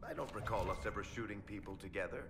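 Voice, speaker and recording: An actor playing a wry, world-weary dwarf storyteller in a video game, with a dry, sardonic manner. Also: male voice, fairly low pitch, fast, close to an everyday voice. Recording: reverberant hall, clear background noise.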